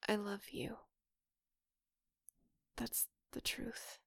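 A woman performing an intimate audio roleplay in a soft, breathy whisper, close to the microphone. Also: medium pitch, sing-song, drawn-out words, no echo.

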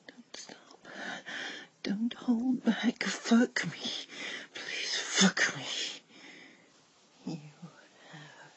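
A woman mostly whispering in a breathy voice, narrating an intimate adult audio roleplay to the listener. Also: lowish voice, lo-fi sound.

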